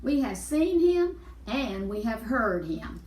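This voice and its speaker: A woman's voice: on the high side, big pitch swings, slow pace, a little mellow, slight background noise. A woman reading a children's storybook, playing a jealous adviser with an insistent, accusatory manner. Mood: accusing. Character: scheming, jealous wise men accusing a rival. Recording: echoey room, distant mic.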